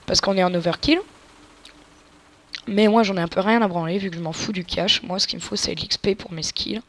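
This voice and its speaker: A woman's voice: lowish voice, steady tone, fairly quick. A woman with a cold giving casual, crude, joking gameplay commentary and explaining tactics to viewers as a let's play gamer. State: has a cold.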